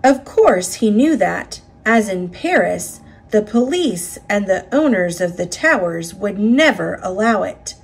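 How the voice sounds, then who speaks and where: Female storybook reader, slightly husky, unhurried, with lively pitch swings and dramatic phrasing for children. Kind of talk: expressive read-aloud narration of a children's picture book.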